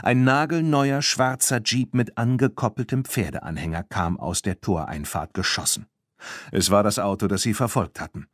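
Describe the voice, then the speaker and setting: A man narrating a children's audiobook in an animated storytelling manner. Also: on the low side, normal speed, no echo, studio quality. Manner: animated storytelling, acting out dialogue.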